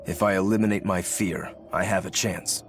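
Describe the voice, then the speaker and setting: A man voicing a determined swordsman demon in a video game, explaining things in a measured way. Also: low voice, steady tone, a little hiss or hum, lightly acted. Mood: earnest, resolute. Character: determined swordsman demon, stoic younger brother to a master. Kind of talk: English video game voice acting. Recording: game music under the voice.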